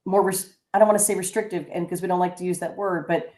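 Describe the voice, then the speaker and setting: Lower-voiced woman host in echoey room. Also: fairly even intonation, a little mellow. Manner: chatty, halting, many restarts and fillers. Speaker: radio show host. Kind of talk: casual radio chat.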